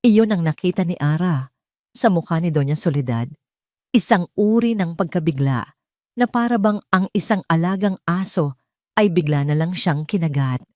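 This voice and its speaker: A woman's voice: lowish voice, moderate pace, a little mellow, dry recording, studio quality. A woman storyteller reading scripted dramatic narration for an audio romance story.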